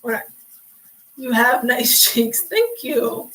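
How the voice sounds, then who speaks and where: A young woman chatting on a livestream, sassy and talkative, calling people sweetie and honey. Her slightly high voice is worn hoarse and raspy from hours of talking without water. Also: sing-song, slow, a bit bright, low-quality recording. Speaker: livestream host. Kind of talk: casual livestream chat.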